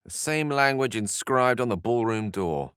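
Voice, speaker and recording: An actor playing a bookish, witty and earnest human wizard in a video game, with erudite, theatrical phrasing and a touch of melancholy. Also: male voice, medium pitch, lively intonation, measured pace, a bit bright, clear, resonant tone, no echo, clean studio sound. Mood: thoughtful, earnest. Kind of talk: video game character voice lines.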